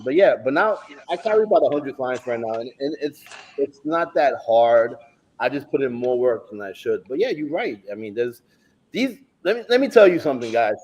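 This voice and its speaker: A middle-aged bodybuilding coach who stutters, talking emphatically in casual chat and swearing often. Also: male voice, on the high side, a little mellow.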